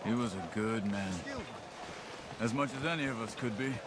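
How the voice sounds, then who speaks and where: An actor playing a stoic, thoughtful Old West gang veteran, measured and understated, with outdoor noise behind him. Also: male voice, fairly low pitch, lightly acted. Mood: sombre, reflective. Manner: measured, understated, short sentences. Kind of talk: naturalistic game cutscene dialogue. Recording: noisy outdoor ambience with hoofbeats.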